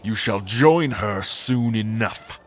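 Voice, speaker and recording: A man's voice: fairly low pitch, animated, slow pace, faint music behind. A man playing a cruel, centuries-old villain lord in a fantasy audio drama, mocking and pompous. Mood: gloating, contemptuous.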